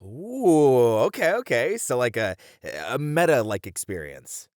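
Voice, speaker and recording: Guy acting as game dev coworker thinking out loud, kinda hesitant but into it, lively up and down pitch, dry asmr mic. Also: measured pace, dry recording. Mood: hesitant, intrigued. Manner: casual, hesitant, thinking aloud. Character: hesitant but intrigued male game developer colleague. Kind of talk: conversational ASMR roleplay acting. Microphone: close studio mic.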